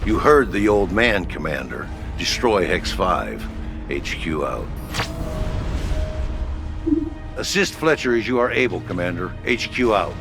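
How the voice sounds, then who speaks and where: An actor playing a no-nonsense military headquarters officer, giving brisk, colloquial mission briefings in a video game. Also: male voice, low voice, sing-song, music in the background. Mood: commanding.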